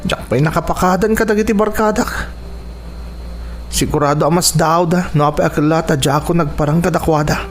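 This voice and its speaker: Man playing a high-voiced, whiny teenage boy. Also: fairly quick, slight background noise, natural voice. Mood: sulky, resentful. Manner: whiny, protesting. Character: spoiled, complaining teenage son sent to farm. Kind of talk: radio drama acting.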